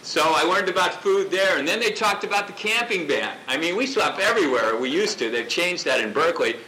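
A man giving an impassioned speech at a public gathering, loud and declaiming, talking without a microphone. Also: fairly high pitch, animated, brisk, low-quality recording. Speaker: activist speaker at a rally. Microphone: off-mic, picked up from a distance.

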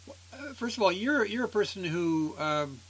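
A man's voice: high voice, expressive, moderate pace, fairly bright tone, husky, poor audio. A man hosts a community radio program in an easygoing way, meandering through his remarks. He often stops on fillers before he reaches his point. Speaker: radio host. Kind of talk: radio interview.

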